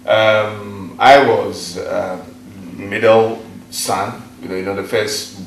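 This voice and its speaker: Ghanaian guy, middle aged teacher, lowish voice, steady storyteller, far from mic w lots of echo. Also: a little hiss or hum. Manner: measured storytelling with fillers. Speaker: guest, teacher. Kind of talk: interview. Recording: echoey room, voice from off mic.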